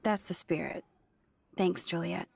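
A shy, breathy teen girl. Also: on the low side, fairly even intonation, a little slow, dry recording, full character voice. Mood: wry. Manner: soft-spoken, dryly sarcastic. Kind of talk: video game voice acting.

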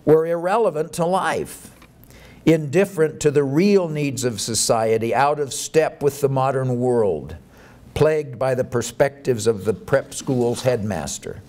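A middle-aged man reads a formal academic lecture aloud in a measured, deliberate way at a lectern microphone. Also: mid-range voice, a little mellow. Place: indoor conference hall, at a lectern. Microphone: boom microphone on the lectern.

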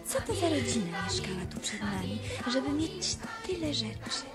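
An inquisitive storybook creature girl. Her fairly high voice swings with excitement and wonder, with music behind it. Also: normal speed. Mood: wondering, excited. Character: curious, excitable young female storybook creature. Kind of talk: radio play acting.

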